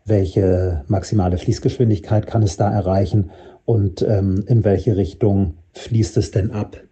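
A male reporter with a low, very dark and mellow voice explains a topic in a live studio exchange. He pauses often with hesitation fillers as he works through the details. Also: fairly even intonation, muffled recording.

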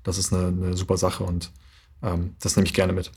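A man's voice: deep voice, completely flat delivery, normal speed, a little mellow, breathy edge. A young man in his twenties from Germany, a handball player answering interview questions thoughtfully, with frequent hesitations. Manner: thoughtful, many hesitation fillers.

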